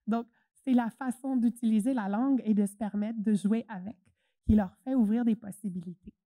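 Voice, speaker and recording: Woman, conference speaker, mellow dark tone, casual hesitant delivery. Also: fairly high pitch, steady tone, moderate pace, dry recording. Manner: chatty, hesitant with many fillers. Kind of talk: presentation talk.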